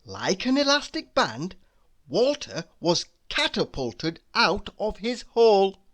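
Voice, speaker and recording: A woman reading a children's story aloud, deliberately and with dramatic emphasis. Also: mid-range voice, very animated. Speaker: storybook reader. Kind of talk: expressive children's read-aloud narration.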